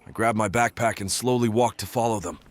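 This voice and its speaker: Guy acting a creepy stalker narrating his night, low detached voice, deliberate, noisy ambience behind him. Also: lightly acted. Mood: brooding, detached. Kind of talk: scripted first-person narration, audio drama.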